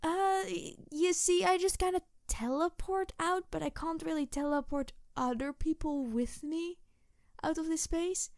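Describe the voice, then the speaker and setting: A woman voicing an excitable, playful young tanuki spirit in a roleplay audio, rambling and stammering as she talks to the listener. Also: very high voice, measured pace, no room sound, studio quality, voice pushed up. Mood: flustered.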